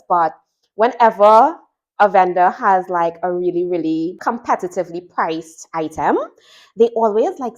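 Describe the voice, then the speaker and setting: A woman's voice: on the low side, dull audio. A woman with a Trinidadian accent, a sewing teacher chatting through an unboxing and explaining each part step by step. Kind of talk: product unboxing and tutorial.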